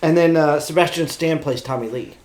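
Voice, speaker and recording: A middle-aged man with a slightly high-pitched voice chats casually as a podcast co-host. He tells things in an animated, blunt way, with some mildly crude phrasing. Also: fairly quick. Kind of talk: casual pop-culture chat.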